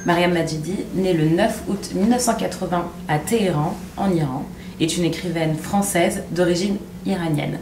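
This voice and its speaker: A young woman talking through a book review, reading a synopsis aloud and then speaking with many pauses, fillers and restarts. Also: fairly low pitch, background noise. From Egypt.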